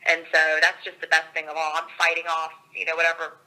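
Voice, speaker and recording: A woman's voice: low voice, completely flat delivery, quick pace, sharp tone. A woman from Georgia, a guest author talking over a call, upbeat and laughing often, her voice a little scratchy from a cold. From Georgia, USA.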